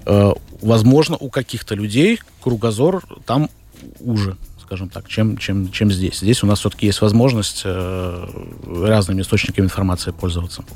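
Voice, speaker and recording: A man from Latvia, a film director, answering interview questions thoughtfully, with frequent pauses and fillers. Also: lowish voice, a little mellow. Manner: thoughtful, hesitant, many fillers and restarts. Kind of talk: radio interview.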